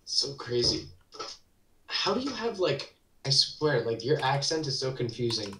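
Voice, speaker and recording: A man talking over team voice chat, sitting back from his mic in a roomy space. He snaps out quick calls during fights, then rambles and laughs in the lulls, in a middle voice. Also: mid-range voice, very animated, pushed and bright, distant mic. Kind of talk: casual gaming chat with callouts.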